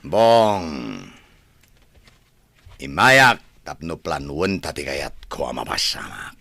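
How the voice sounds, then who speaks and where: Guy playing bitter scheming son in radio drama, raspy, slow drawl, swings all over the place. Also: very deep voice, big pitch swings, bright, forceful tone, no echo, full character voice. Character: resentful, scheming adopted adult son, brazen and menacing. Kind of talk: Ilocano radio drama acting.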